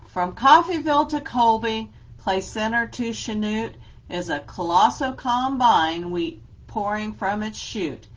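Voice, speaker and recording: A woman librarian leading a children's story time, reading a picture book aloud and explaining gently. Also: mid-range voice.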